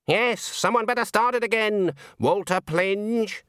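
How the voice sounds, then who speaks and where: A man reading aloud and voicing a stern, shrewd old country witch who speaks in a dry, knowing, quietly menacing way. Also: high-pitched, measured pace, smooth, dry recording, voice pushed up. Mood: coaxing.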